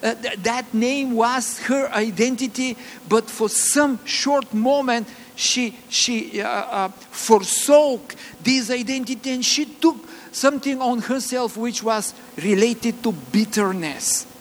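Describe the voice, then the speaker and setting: High-voiced Bulgarian-accented preacher, slow. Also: male voice. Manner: earnest, halting with repeated fillers and restarts. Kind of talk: sermon. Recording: long reverb, faint ambient noise.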